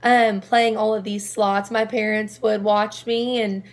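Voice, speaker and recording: A young woman singer with a low, raspy voice, chatting casually and laughing often as an interview guest. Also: level tone, unhurried. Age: young adult.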